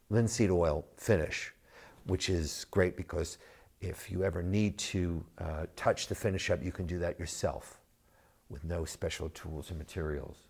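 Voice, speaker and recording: Low-voiced man presenting a product. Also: a little mellow. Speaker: company founder presenting a product. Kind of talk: unscripted product presentation to camera.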